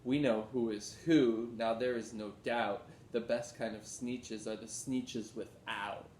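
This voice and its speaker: A man's voice: fairly even intonation, slow, darkish tone, lightly acted. Man as snooty storybook creature, echoey room. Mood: haughty. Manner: indignant, haughty declarations. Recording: roomy echo with background rumble noise.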